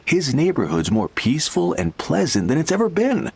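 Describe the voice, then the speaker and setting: A mid-pitched male voice with lively swings, a smug game client who leans in to share gossip and scorns rivals. Soft game music sits behind him. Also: moderate pace, creaky, husky, no room sound, full character voice. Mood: confiding. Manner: smug, confiding. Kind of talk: game voice acting.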